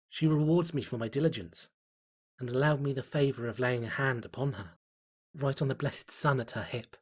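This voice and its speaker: Horror story role: devout, obsessive diarist played by a man, husky mid-pitched voice, reverent measured delivery. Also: close to an everyday voice. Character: fervent cult devotee confiding in a diary. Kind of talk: dramatic horror story narration.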